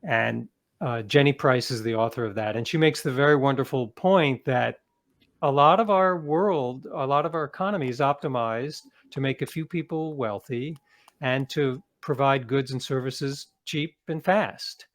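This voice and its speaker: A man's voice: mid-range voice, normal speed. Thoughtful man explaining in an interview. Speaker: author being interviewed. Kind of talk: conversational, reflective interview talk.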